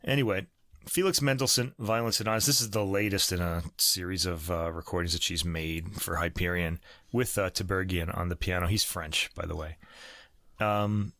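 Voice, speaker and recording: A man's voice: sits low, steady tone, moderate pace, sharp tone, no echo, clean studio sound. A man hosting a podcast, chatting casually about classical recordings, rambling with many fillers and restarts. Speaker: podcast host.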